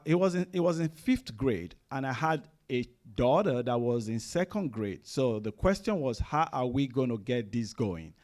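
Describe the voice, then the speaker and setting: A man's voice: mid-range voice, a little mellow. Middle-aged Nigerian man, conversational presenter style, speaking to an audience in a room. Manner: conversational, many fillers and restarts. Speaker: guest speaker at a parents' summit. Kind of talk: conference presentation.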